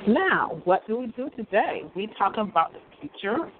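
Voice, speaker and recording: A hoarse speaker with a cold, hosting an online radio show in a rambling monologue that repeats phrases and breaks into laughs. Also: medium pitch, sing-song, moderate pace. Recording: phone-like line with loud crowd noise.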